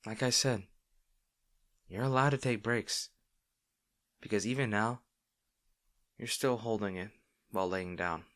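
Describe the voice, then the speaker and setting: A man playing a caring boyfriend in an intimate audio roleplay, talking tenderly and with gentle insistence to his partner. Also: fairly low pitch, unhurried, long pauses. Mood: soft, understanding. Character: caring, gently firm young boyfriend soothing an overworked partner.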